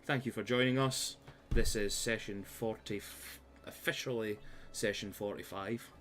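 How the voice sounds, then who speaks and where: Swearing Scottish game master. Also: male voice, lowish voice, steady tone, unhurried, a bit bright. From Scotland. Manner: swears a lot, jokey banter. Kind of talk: casual chat and game recap. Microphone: live stream mic.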